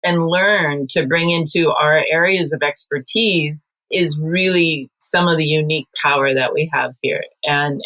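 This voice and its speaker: A woman speaking as a sustainability partner on a panel, agreeable and quick to build on others' points. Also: low-pitched, a bit bright, rough recording.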